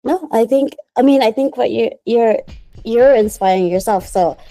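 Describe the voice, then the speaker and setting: A woman's voice: on the high side, normal speed, dark tone, no room sound. A woman who grew up in the Philippines, answering interview questions in a rambling, casual way with many "like"s and frequent laughter. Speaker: guest, small business founder.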